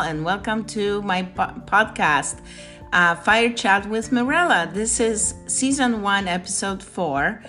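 A woman's voice: mid-range voice, a bit bright. A woman from Poland hosting a podcast, interviewing a guest with upbeat enthusiasm. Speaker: podcast host.

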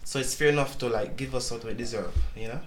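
A Jamaican man, a dancehall dancer, talking casually in an interview with a relaxed, patois-inflected way of speaking. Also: mid-range voice, fast talker, echoey room, slight background noise.

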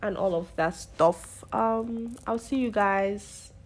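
A young woman, hosting a podcast and chatting loudly with lively energy. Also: medium pitch, slow. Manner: loud, hyped, animated storytelling. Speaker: podcast host. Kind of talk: casual chat.

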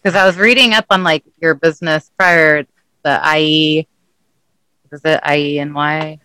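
A man from Dallas with a bright, smooth voice, recorded dry over a video call. He chats freely as co-host, asking curious questions and swearing casually. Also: a little high, steady tone, normal speed, dry recording, low-quality recording. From Dallas, Texas. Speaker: podcast co-host. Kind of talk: casual interview chat.

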